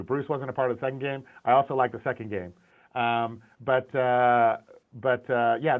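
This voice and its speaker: A middle-aged man, a concept art and animation director, talking candidly in a casual interview, often restarting and repeating words. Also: mid-range voice. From Syrian, grew up in the US. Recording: tinny phone-like call audio.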